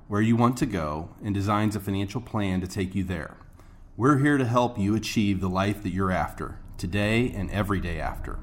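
A man's voice: lowish voice, fairly bright tone. A man with an American accent reading a scripted commercial as an announcer. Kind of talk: scripted ad narration.

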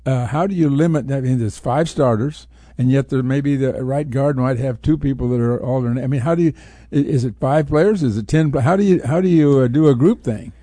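A man co-hosting a sports talk show, forming casual questions haltingly and repeating words as he goes. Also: medium pitch, dark tone, no room sound.

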